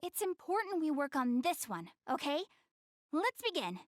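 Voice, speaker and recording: A high, very animated young goddess girl from an anime video game, recorded dry and close. She is proud and slightly haughty, yet shy and earnest, easily flustered and hesitant when she opens up. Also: normal speed, dry recording. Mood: nervous, insistent. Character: shy, prideful young goddess girl, tsundere but caring. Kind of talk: English-dubbed anime video game acting.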